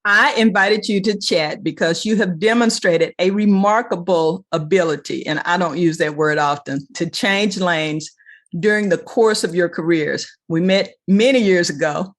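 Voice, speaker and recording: A woman's voice: on the low side, moderate pace. A woman hosting a conversational interview show, chatty and encouraging, with affirming asides. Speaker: show host.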